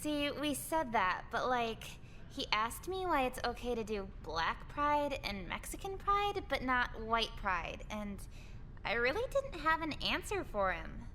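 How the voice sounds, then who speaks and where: A flippant, gullible high-school girl in a game, high and bright. Her delivery is breezy and nonchalant with lively swings in pitch, over faint noise. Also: measured pace, full character voice. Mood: breezy, unbothered. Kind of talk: visual novel game voice acting.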